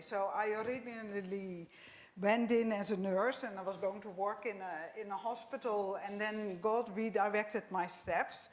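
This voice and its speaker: A middle-aged woman from the Netherlands with a Dutch accent, a missionary nurse being interviewed in church, matter-of-fact and quick to laugh. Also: mid-range voice. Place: church hall.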